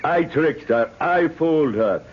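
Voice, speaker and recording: An actor playing a defiant village man who confesses to sacrilege, speaking haltingly in a 1970s radio drama style. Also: fairly high pitch, animated. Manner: halting, stubborn, slow delivery. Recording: muffled, noisy old radio broadcast.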